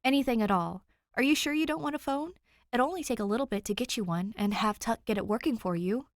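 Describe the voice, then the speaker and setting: A woman's voice: a little high, fast talker, dry recording, close to an everyday voice. A protective, worried older brother , read by a woman in a storybook style. He speaks earnestly and presses his point. The sound is dry and close. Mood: worried, pressing. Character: fretful, protective older brother, a young man.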